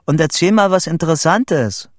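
Man voicing a demanding boy, raised pitch. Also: lively intonation, drawn-out words, no echo, studio quality, full character voice. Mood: curious, impatient. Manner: terse questions and commands. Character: curious, demanding boy calling up to his friend. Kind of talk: literary audiobook dialogue.

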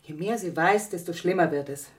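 A woman's voice: on the low side, fairly quick, lightly acted. An actress playing a guilt-ridden, bitter middle-aged mother in a German radio drama, anguished and fearful in manner.

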